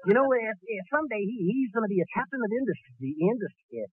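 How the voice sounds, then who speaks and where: A man's voice: high voice, lively intonation, fast, slightly rough, no room sound. A man playing a cheerful, bumbling young handyman's helper with a heavy stutter in 1940s radio comedy. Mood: admiring. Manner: bumbling, trips over words and swaps them. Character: stammering, cheerful young male cousin, a simple handyman's helper. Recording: muffled vintage 1940s radio recording.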